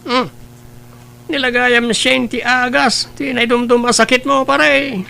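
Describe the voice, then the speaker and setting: Very high-pitched teasing male buddy, acted by a man. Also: little pitch movement, unhurried, creaky, pushed and bright, clean tone, exaggerated voice. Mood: teasing, advising. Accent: Ilocano. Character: teasing, frank male buddy giving love advice. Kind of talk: Ilocano radio-style family drama acting.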